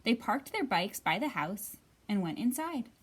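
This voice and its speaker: A woman hosting a children's storytime, reading and counting in an expressive, sing-song way with drawn-out words. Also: a little high. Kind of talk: expressive read-aloud and counting for young children. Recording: somewhat distant from the mic.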